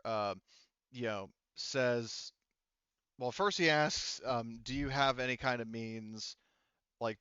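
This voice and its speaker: A man's voice: medium pitch, a bit bright, clean tone, no echo. Rambling male game master, dry dull mic. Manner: rambling and halting, casually profane. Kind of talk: casual improvised explanation of tabletop game rules and lore.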